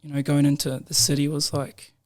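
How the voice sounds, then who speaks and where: A man's voice: fairly high pitch, brisk. A young man with a New Zealand accent, a guest telling stories in a casual podcast chat, laughing often. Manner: laughs often, relaxed storytelling with slang. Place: podcast room.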